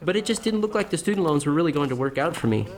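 A man's voice: on the high side, animated, very fast, a little hiss or hum, close to an everyday voice. A man acting as an earnest, bookish young American idealist in a drama, hesitant and eager to explain. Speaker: actor in an audio drama. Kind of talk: naturalistic dialogue acting.

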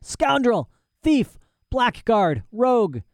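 A man's voice: sits high, expressive, moderate pace, darkish tone, dry recording, voice pushed up. A man voicing a mad old professor in a storybook reading, cranky, boastful and pompous, querulously tossing in "eh". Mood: indignant. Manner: agitated, querulous, pompous, punctuating talk with "eh".

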